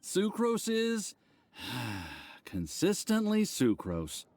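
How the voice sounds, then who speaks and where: A man voicing a bashful, eager young male alchemist pupil in a video game, flustered and deferential, with a husky voice. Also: high voice, wildly swooping pitch, drawn-out words, a little mellow, full character voice. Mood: resigned.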